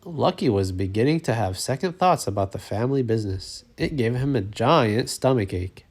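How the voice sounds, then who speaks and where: A man narrating a children's story as an expressive read-aloud. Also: medium pitch, moderate pace, slightly rough.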